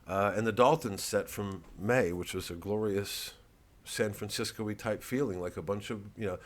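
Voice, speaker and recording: A man with an American accent and a lowish voice delivers a casual comedian's monologue. He wanders from one aside to the next and swears freely, as if chatting straight to his followers. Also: normal speed. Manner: rambling, digressive, profane. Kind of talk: casual vlog monologue to fans.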